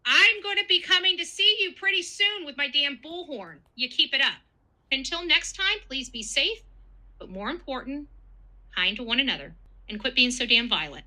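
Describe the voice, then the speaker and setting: A woman's voice: high-pitched, animated, moderate pace, pushed and bright, low-quality recording. A woman giving casual online commentary in an emotional, dramatic manner.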